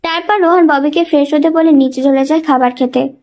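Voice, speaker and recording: A woman's voice: high-pitched, fairly even intonation, fairly quick, darkish tone. A woman reading a scripted romantic story as a dramatic narrator.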